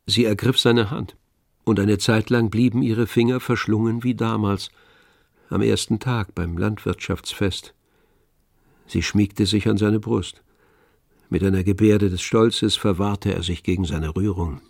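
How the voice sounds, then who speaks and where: A man narrating a literary radio drama in a measured storytelling manner. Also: fairly low pitch, steady tone, gravelly, no room sound. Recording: dry studio, music behind.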